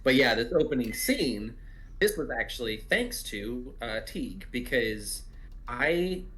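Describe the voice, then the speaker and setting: A man's voice: mid-range voice, very animated, measured pace, a bit bright. Casual man recounting creative work. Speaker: writer and cast member giving commentary. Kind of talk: casual unscripted commentary chat.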